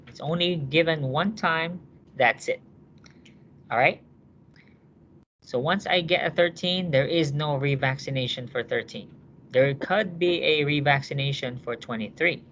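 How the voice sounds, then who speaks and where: A man's voice: mid-range voice, sharp tone, a little hiss or hum. A man teaching a nursing class, walking students through cases with rhetorical questions and checking that they understand. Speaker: nursing instructor. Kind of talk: classroom lecture. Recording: dull lo-fi classroom recording.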